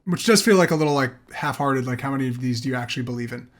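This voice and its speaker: A man's voice: mid-range voice, rapid-fire. A man co-hosting a gaming podcast, chatting casually and informally. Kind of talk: casual group chat about games and films.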